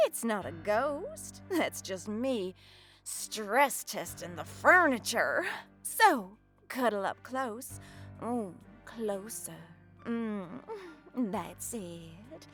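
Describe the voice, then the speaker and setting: A woman playing a bawdy, teasing horror show hostess, purring her innuendos in a breathy voice and dropping in flirty laughs. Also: medium pitch, sing-song, drawn-out words, fairly bright tone, soft background music, exaggerated voice. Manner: innuendo-laden, drawling, teasing. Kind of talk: campy late-night horror hosting.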